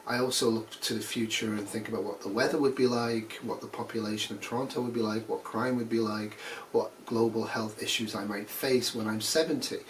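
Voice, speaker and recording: A man's voice: mid-range voice, recorded from a distance, poor audio. Fifties male instructor, blunt and dry, sarcastic asides, a bit off-mic with faint noise behind. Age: 50s. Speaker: nursing instructor. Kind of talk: recorded course announcement.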